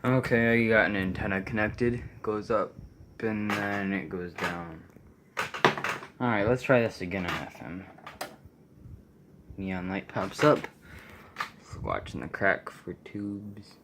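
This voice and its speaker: Low-voiced man, offhand, over clear noise. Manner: offhand, halting, thinking aloud. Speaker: video creator demonstrating a vintage radio. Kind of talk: casual unscripted demonstration.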